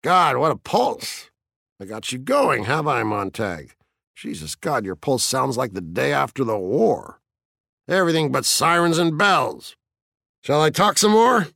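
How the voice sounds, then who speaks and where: A man's voice: mid-range voice, big pitch swings, a little slow, creaky, pushed and bright, dry recording, clean studio sound, voice pushed up, exaggerated voice. A man reading an audiobook as a grandiloquent fire chief, needling and mock-jovial, with a sly, gleeful, declamatory delivery. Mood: taunting, gloating. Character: Beatty, taunting, grandiloquent male fire chief, slyly gleeful bully. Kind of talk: audiobook character acting.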